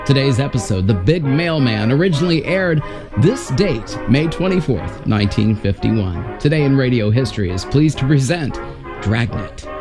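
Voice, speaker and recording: A man's voice: mid-range voice, expressive, moderate pace, music in the background. A man hosting with scripted, announcer-style narration. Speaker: host. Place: voice-over with music behind.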